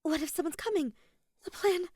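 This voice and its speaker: A woman's voice: very high voice, moderate pace, mellow, no echo, studio quality, voice pushed up. A woman voicing a determined, anxious teenage girl plotter in a story reading, with an earnest, emphatic delivery. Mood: flustered.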